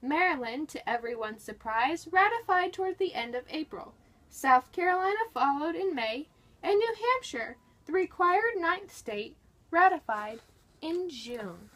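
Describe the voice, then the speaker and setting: High-pitched, bright woman reading aloud dramatically. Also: animated, a little slow, low-quality recording. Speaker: reader of a nonfiction history book. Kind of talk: dramatic read-aloud, scripted narration.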